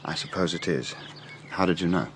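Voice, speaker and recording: An actor playing a troubled, conflicted young gentleman in a British radio drama, well-spoken and earnest, with an English accent. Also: low voice, normal speed, a little hiss or hum. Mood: anguished, confessing.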